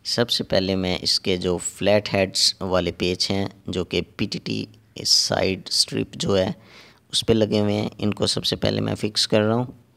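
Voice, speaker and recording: A man narrating a hands-on repair tutorial step by step, halting and repeating himself as he works. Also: lowish voice, flat delivery, gravelly, fairly bright tone. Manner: halting and repetitive, pausing while working. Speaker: repair technician giving a tutorial.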